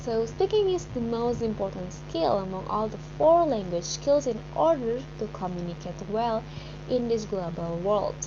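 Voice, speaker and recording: Young Indonesian woman reading a presentation. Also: on the high side. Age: young adult. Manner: steady, careful reading of a script. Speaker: student presenter. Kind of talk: read-aloud academic presentation. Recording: dull recording with clear background hum.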